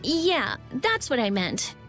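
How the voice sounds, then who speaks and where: A woman's voice: high-pitched, very animated, slow, fairly bright tone, soft background music, full character voice. A woman voicing a brusque, prickly teenage girl scholar who hides her concern, in a video game. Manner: brusque, scolding.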